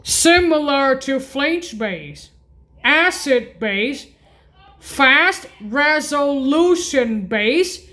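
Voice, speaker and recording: A woman giving an informal music tutorial in a halting, repetitive way, correcting herself as she goes. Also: sits high, bright, forceful tone. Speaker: music practice video host. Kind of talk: informal spoken tutorial naming keyboard voice effects.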